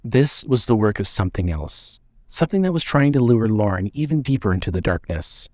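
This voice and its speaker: A man reading scripted narration for an audio drama in a measured way. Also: lowish voice, big pitch swings, a little mellow, no room sound, studio quality.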